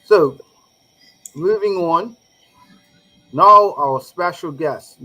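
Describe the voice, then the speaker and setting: This is a man hosting a live regional roundtable. His voice sits a little higher than most men's. He speaks deliberately, in measured, emphatic phrases. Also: bright, forceful tone, rough recording. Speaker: talk show host.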